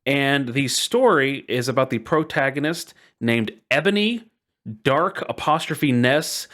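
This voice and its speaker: A man's voice: mid-range voice, lively intonation, measured pace, a bit bright. A man co-hosting a podcast, presenting topics in a casual, playful, joking way. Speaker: podcast co-host.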